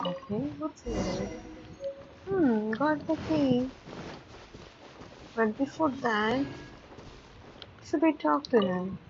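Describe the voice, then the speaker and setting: A woman's voice: on the high side, lively intonation, takes it slowly, long pauses. A woman giving casual commentary as she streams a video game. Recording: muffled stream mic with clear noise.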